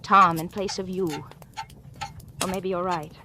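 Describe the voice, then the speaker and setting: A woman's voice: fairly low pitch, level tone, a little slow, lightly acted. Jilted young woman, played by an actress, bitter and hurt, noisy vintage broadcast. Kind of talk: 1940s radio acting. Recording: noisy old radio broadcast.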